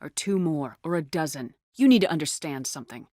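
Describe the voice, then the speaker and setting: A woman's voice: low voice, lively intonation, fairly quick, dark tone, no echo, studio quality. A woman playing a tough, resolute space navy commander in a video game, speaking in a firm, terse, commanding way. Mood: firm, resigned. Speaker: video game lead character. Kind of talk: video game dialogue acting.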